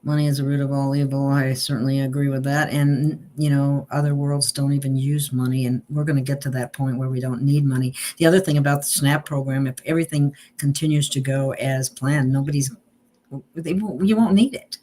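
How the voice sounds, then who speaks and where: A woman's voice: rumbling low, steady tone, fairly quick, mellow. A woman hosting a casual online chat, talking in a loose, chatty way and laughing often. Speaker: host.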